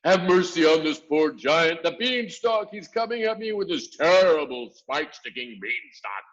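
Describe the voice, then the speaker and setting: A man's voice: expressive, moderate pace, a bit bright, highs cut off. A man voicing a huge male giant who bellows and shrieks in panic during a nightmare, in a storybook reading. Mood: begging. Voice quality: shrill, bellowing.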